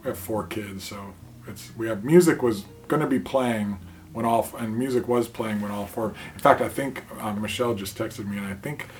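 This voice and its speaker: A man's voice: fairly low pitch, moderate pace, a little hiss or hum. A man and father of four, telling a personal story in a casual, anecdotal way.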